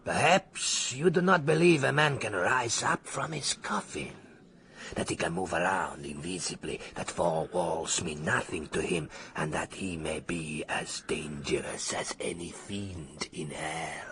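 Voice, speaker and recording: An actor playing a sinister stranger in a radio mystery, speaking formally and menacingly in a breathy whisper. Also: male voice, sits low, unhurried, fairly bright tone, a little hiss or hum. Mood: quietly menacing. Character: sinister male stranger claiming to have risen from coffin. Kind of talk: radio mystery drama acting.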